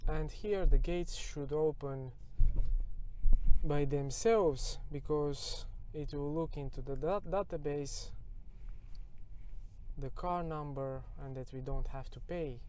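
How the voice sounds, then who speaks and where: A man's voice: a little high, slow pace, darkish tone. A man in his forties giving casual, hesitant driving commentary to camera as a car review vlogger, recorded inside a moving car. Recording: clear car and road noise. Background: car interior driving noise.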